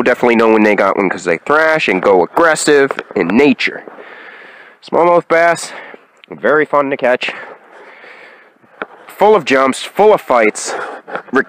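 Young male fishing vlogger, lively and excitable, slow-spoken between bursts, filmed on a handheld camera out on a lake. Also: fairly high pitch, rough recording. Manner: excitable, whoops and laughs at strikes. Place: small boat on a foggy lake, outdoors.

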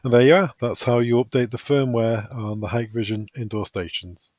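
A man's voice: mid-range voice, fairly even intonation, normal speed, darkish tone, no room sound. Man narrating a tutorial, dry close sound. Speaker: technical trainer. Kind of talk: step-by-step software tutorial narration.

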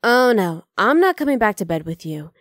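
A woman's voice: mid-range voice, big pitch swings, normal speed, dry recording, natural voice. Close, dry, teasing half-dragon girlfriend voiced by a woman. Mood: coaxing, teasing. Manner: casual, coaxing, affectionate teasing. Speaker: ASMR roleplay voice actor. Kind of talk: ASMR roleplay acting.

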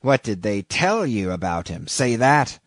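A man's voice: on the low side, sing-song, measured pace, no room sound, clean studio sound. A man voicing a shabby old sailor in an audiobook, an ominous, derisive stranger who talks insistently in archaic seafaring speech. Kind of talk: audiobook character reading.

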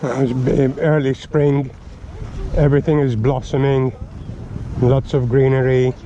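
A man's voice: mid-range voice, little pitch movement, unhurried, mellow. A man vlogging from his motorcycle, chatting casually and rambling with many ums as he rides outdoors. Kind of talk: casual narration while riding. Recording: dull helmet mic with wind and traffic noise. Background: wind and traffic noise.

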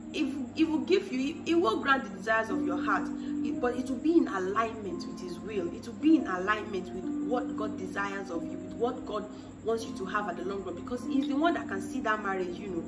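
A woman in her thirties gives earnest, sermon-like advice straight to the camera and repeats her key lines for weight. Her voice sits a little high. She sounds distant in a roomy space, with music playing behind her. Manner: earnest, preachy, repeats phrases for emphasis, acts out quoted voices. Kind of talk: direct-to-camera advice talk. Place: small room against a plain wall. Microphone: camera in front, chest-up framing.

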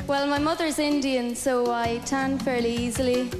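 A young woman answering onstage pageant interview questions in a composed, measured way. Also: high voice, fairly even intonation, in a large hall, over music. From Ireland. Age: young adult. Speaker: pageant contestant. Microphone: handheld mic held by host.

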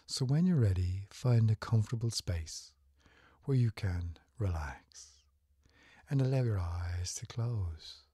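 A man narrating a guided meditation in a soothing, gentle, unhurried way, his breathy, husky voice often falling close to a whisper. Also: fairly low pitch, expressive, no echo.

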